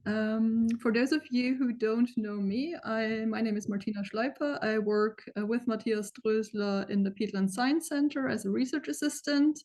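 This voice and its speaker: A young woman who moderates an online talk gives a welcome and housekeeping notes over a video call, friendly and informal, often pausing on fillers. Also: fairly high pitch, darkish tone. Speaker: moderator introducing a speaker.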